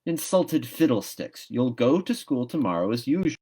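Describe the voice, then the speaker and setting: A man's voice: mid-range voice, very animated, normal speed, warm tone, breathy edge, voice pushed up. A man voicing a shrewd older guardian woman in a storybook, curt, practical and folksy. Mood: dismissive.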